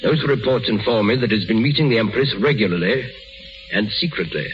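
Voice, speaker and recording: Man as a curt low-voiced emperor, muffled radio. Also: a little fast, slight background noise, lightly acted. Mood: sardonic, cold. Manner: curt, sardonic, imperious. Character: stern, suspicious, commanding jealous emperor. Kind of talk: 1930s radio acting. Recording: muffled 1930s radio broadcast with music.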